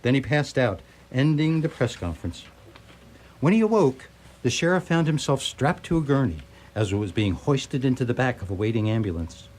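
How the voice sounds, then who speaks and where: A man's voice: medium pitch, sing-song, moderate pace, a little mellow, rough recording. A man reading scripted story narration for radio theatre, with a wry, literary storytelling manner. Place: live radio theatre performance.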